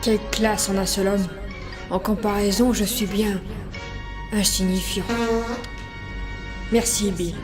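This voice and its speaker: A meek, naive pilot, voiced by a woman in a parody dub. The medium-pitched voice rambles with self-doubt over clear music. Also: level tone, takes it slowly, pauses often, close to an everyday voice. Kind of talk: comedy film parody dub.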